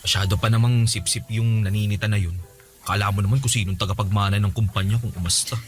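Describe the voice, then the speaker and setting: A man's voice: lowish voice, fairly even intonation, fast, natural voice. An actor playing an easygoing, teasing young working man with a Filipino accent, in conversational radio drama style. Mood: casual, teasing.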